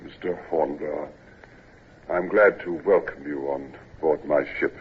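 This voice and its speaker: Brief: a dry, gloomy old naval captain, husky bass, phone-thin vintage radio. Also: male voice, steady tone, exaggerated voice. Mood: stern. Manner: slow, dry, morose. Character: gloomy old male ship's captain, stern but not unkind. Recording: tinny old radio broadcast.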